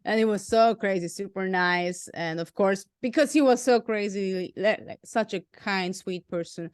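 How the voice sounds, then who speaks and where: A woman's voice: mid-range voice, normal speed, clear, resonant tone, no echo, clean studio sound. Hungarian-German accented woman, giggly. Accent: mix of Hungarian and German. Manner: laughs often, lots of "like". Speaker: guest musician. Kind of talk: casual interview chat.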